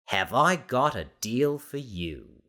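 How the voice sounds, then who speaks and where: A man's voice: mid-range voice, big pitch swings, pushed and bright, exaggerated voice. Guy doing a big sleazy storybook salesman, pompous and friendly, stretches words out like a showman. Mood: leering. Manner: theatrical, amicable, drawn-out salesman patter. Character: grandiloquent, leering huge merchant in his mid-thirties, slick showman.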